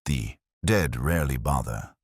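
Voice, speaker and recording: A man playing a brooding, world-weary police inspector who narrates his case in a measured, sombre, wry literary style. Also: a bass voice, breathy edge, dry recording, studio quality, heavily acted. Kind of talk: literary first-person detective drama narration.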